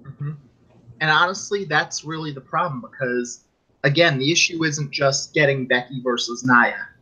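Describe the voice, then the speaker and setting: A man's voice: fairly high pitch, normal speed, bright, forceful tone, slightly rough. A man co-hosting a casual wrestling podcast, rambling and argumentative as he makes his case. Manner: rambling, argumentative, mimics fans in quotes. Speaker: podcast co-host. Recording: dull lo-fi audio.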